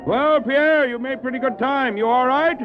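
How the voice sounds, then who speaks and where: An actor playing a determined, devout doctor who drives a dog sled, in an animated, exclamatory audio drama style. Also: male voice, extremely high pitch, moderate pace. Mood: firm, concerned. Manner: animated, exclamatory, self-talking. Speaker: character who also narrates his story. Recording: dull old cassette drama, loud music.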